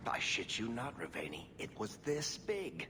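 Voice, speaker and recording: Roguish joker, hugely swooping pitch. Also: male voice, huge pitch swings, a little slow, pushed and bright, a little hiss or hum, heavily acted. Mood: mischievous. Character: roguish, bawdy man joking slyly. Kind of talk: video game dialogue.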